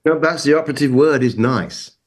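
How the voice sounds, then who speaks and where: A man's voice: medium pitch, a little fast. A man on a review panel giving his opinions in a casual, unscripted way. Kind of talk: casual spoken critique.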